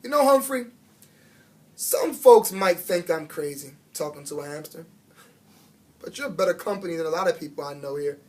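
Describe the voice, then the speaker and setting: Man playing Aldo, a booming, jolly janitor. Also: lively intonation, normal speed, long pauses, natural voice. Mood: chatty, friendly. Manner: booming, exuberant, folksy. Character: Aldo, big-hearted, jolly chatty male school janitor.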